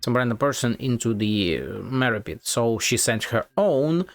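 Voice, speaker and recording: A woman's voice: extremely low pitch, animated, moderate pace. A woman giving casual, wry game commentary, musing aloud as she plays. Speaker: game streamer.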